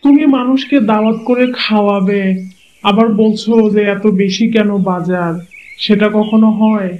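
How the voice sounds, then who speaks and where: Cartoon dub: man voicing a generous young husband, insistent and upbeat, high voice, lo-fi sound. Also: fairly even intonation, normal speed, close to an everyday voice. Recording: muffled lo-fi audio.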